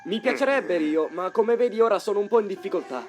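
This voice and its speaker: A man playing a good-natured, easily flustered teenage boy in an expressive, colloquial anime dub style. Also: high-pitched, a little fast, faint music behind. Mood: tense, uneasy. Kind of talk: dubbed anime motion comic acting.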